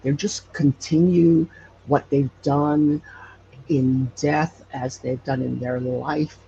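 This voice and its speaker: A man from Hawaii telling stories casually as an interview guest, halting and searching for words as he goes. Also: on the high side, steady tone, vocal fry, dark tone. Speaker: podcast guest telling local legends. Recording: dull lo-fi audio, faint noise.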